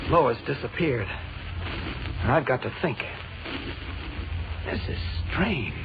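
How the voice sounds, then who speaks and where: A man acting a calm, kindly newspaper reporter in a 1940s radio serial. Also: mid-range voice, slow. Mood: urgent, worried. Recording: muffled, hissy old radio recording.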